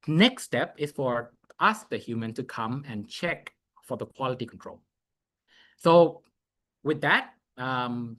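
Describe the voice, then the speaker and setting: A man's voice: medium pitch, a bit bright. Man walking through a demo. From Thailand. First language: Thai. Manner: halting, thinking aloud while walking through a screen. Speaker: presenter giving a software demo. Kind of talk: live webinar demo.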